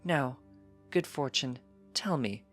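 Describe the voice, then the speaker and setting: A narrator performs a gracious storybook pony princess in a raised, high voice. She is gentle and encouraging, with formal, measured phrasing over music. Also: animated.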